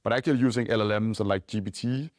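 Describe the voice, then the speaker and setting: A man's voice: lowish voice, fairly even intonation, no echo, clean studio sound. A man with a Danish accent, a company founder answering questions in a casual podcast interview, talking loosely with lots of "like" and "right?". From Denmark. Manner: quick, loose talk full of "like" and "right?".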